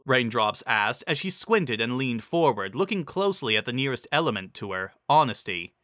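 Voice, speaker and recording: Bright-voiced man narrating, very dry recording. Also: mid-range voice, big pitch swings, normal speed, dry recording. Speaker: story narrator. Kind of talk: dramatic scripted narration.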